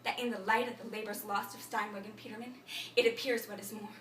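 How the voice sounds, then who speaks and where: A woman playing a frantic, babbling thinker in an absurdist stage monologue, incantatory and repetitive, swinging between muttering and shouting. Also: mid-range voice, little pitch movement, quick pace, a little hiss or hum, pitched below the performer's own voice, close to an everyday voice. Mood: rambling, urgent. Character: frantic, babbling thinker reciting a broken learned tirade. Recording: echoey room, distant microphone.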